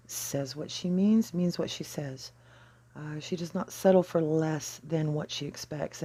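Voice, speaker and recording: An astrology channel host explains a card reading at a deliberate pace, pausing between phrases. The voice is mellow and husky, with a gravelly creak running through it. The delivery is measured and explanatory. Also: mid-range voice.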